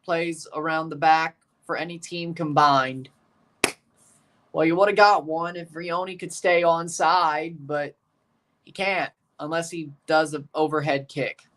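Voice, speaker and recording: A man hosting a livestream watchalong, calling the soccer match like a commentator and throwing dry asides to his chat. His voice is a little hoarse. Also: fairly high pitch, fairly even intonation, a little slow, fairly bright tone. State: slightly hoarse. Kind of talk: live match reaction and play-by-play.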